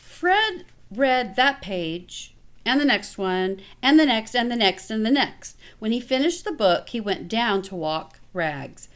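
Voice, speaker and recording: Animated, high-pitched woman reading aloud. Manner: expressive, pausing to comment to children. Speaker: elementary teacher reading aloud. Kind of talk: picture book read-aloud with casual asides.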